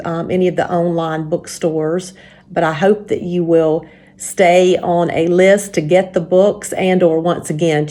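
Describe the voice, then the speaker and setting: Lower-pitched woman reading for children. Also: darkish tone. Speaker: church story time reader. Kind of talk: reading a children's book aloud with spoken introduction.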